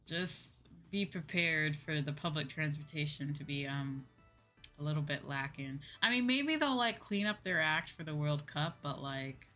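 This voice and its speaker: A woman with a slightly low voice talks casually as a livestream host. She rambles and thinks aloud, often repeating a point as she goes. Faint music plays behind her. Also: a bit bright. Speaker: livestream host and virtual streamer. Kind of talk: casual livestream chat with viewers. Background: background music.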